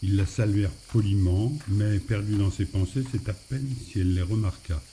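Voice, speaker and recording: Dark, low-voiced male storyteller. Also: fairly even intonation, normal speed, a little hiss or hum. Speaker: narrator. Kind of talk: scripted story narration.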